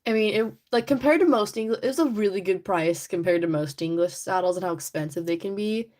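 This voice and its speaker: A young woman hosting a casual livestream, chatty and giggly, her voice a little raspy from allergies. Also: medium pitch, a little fast, darkish tone. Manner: chatty, giggly, rambling with many "like"s. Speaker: livestream host. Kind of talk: casual livestream chat while painting a figure. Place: indoors at home.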